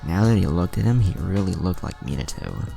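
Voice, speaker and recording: A man's voice: sits low, expressive, brisk, vocal fry, mellow. A man narrating a scripted fan-fiction story, with music behind his voice. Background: music under the voice.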